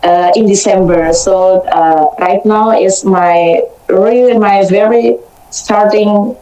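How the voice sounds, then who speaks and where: A woman's voice: mid-range voice, steady tone, slow, mellow, reverberant, low-quality recording. A woman teacher speaking hesitantly on a video call, searching for words as she talks. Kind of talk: casual online chat.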